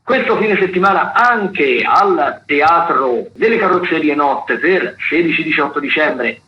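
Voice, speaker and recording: A man's voice: on the high side, expressive, unhurried, highs cut off. A man hosting a radio programme, reading out listings in a semi-scripted way, often breaking off and correcting himself. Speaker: radio host of a theatre programme. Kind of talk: semi-scripted spoken listings of theatre shows. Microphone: radio studio microphone.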